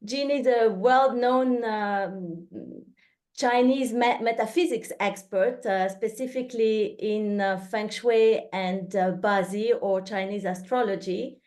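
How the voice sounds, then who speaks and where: A woman hosting an interview and introducing her guest, halting with many restarts. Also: medium pitch, darkish tone. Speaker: host, newsletter editor.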